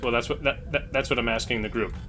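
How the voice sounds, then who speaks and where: A man's voice: mid-range voice, fairly even intonation, fast talker, over music. A man playing a starship captain in a tabletop game who talks hesitantly, with many fillers. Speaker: player as ship captain. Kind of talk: casual tabletop play.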